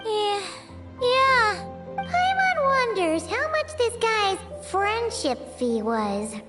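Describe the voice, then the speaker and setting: A tiny floating guide from an anime game, with an extremely high, childlike voice and wildly swooping intonation. She is cheeky and excitable, drawing her words out over background music. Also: drawn-out words, heavily acted. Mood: skeptical, cheeky. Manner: speaks of herself in third person, childlike.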